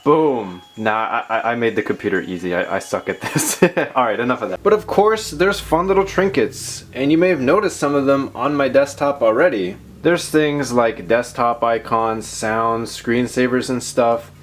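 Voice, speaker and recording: A man's voice: mid-range voice, normal speed, hardly any pauses, slight background noise. A man hosting a casual tech and gaming vlog, talking informally with slangy, self-deprecating commentary. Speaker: video host. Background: background music.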